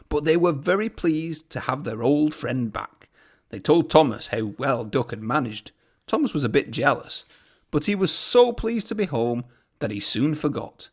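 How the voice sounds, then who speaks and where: A man narrating a children's storybook aloud as a storyteller. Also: fairly high pitch.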